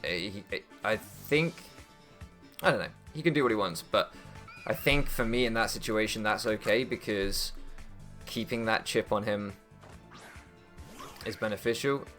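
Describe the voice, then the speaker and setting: A man's voice: lowish voice, measured pace, fairly bright tone, faint music behind. A young man doing casual strategy game commentary, thinking aloud and deliberating as he goes, with hesitations. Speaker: gaming YouTuber.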